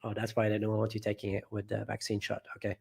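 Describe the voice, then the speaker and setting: A man's voice: lowish voice, monotone, fast talker, gravelly, darkish tone, husky. A man hosting a casual live stream from home, reading viewer comments aloud and rambling, often trailing off and restarting.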